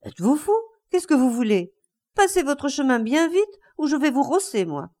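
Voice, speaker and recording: Woman playing a brusque, angry young man, raised pitch. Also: sing-song, normal speed, a little mellow. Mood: angry, threatening. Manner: brusque, challenging. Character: exasperated, threatening young man defending his mother.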